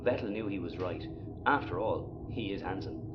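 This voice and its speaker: An actor playing a grumpy, sarcastic, exasperated spaceship captain in a sci-fi audio comedy. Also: male voice, sits low, moderate pace, muffled recording, very noisy, close to an everyday voice. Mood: grudging storytelling. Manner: exasperated, sardonic.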